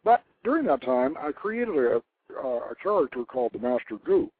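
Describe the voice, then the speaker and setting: An older man hosting a radio show, reading aloud and commenting informally in a deliberate storyteller's manner. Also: medium pitch, very animated, husky, no room sound. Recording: narrow phone-like line, dry.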